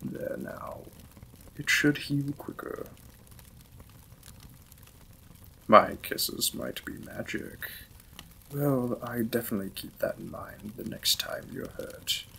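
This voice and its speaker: A man playing a gentle, apologetic Victorian vampire lord in a romantic audio roleplay, speaking formally and tenderly as a courteous new husband. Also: medium pitch, slow, gravelly, slight background noise, heavily acted, rough recording. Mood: tender, affectionate.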